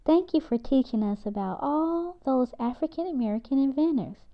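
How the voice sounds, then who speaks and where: Young storybook girl, voiced by a woman, earnest and wondering, slightly high, dull recording. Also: animated, moderate pace. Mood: earnest, grateful.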